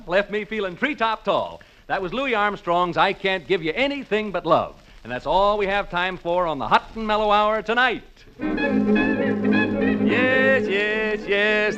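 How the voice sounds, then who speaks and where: A man's voice: high-pitched, poor audio. An actor playing a boastful, wisecracking male disc jockey in a 1940s radio drama, talking in breezy showman patter. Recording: 1940s radio broadcast with music behind.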